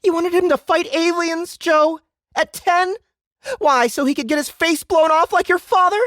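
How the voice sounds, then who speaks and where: A man's voice: extremely high pitch, normal speed, a bit bright, no room sound, studio quality, pitched above the performer's own voice. A man voicing a worried, emotional middle-aged mother in a sci-fi audiobook, pleading and intense. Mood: angry, accusing.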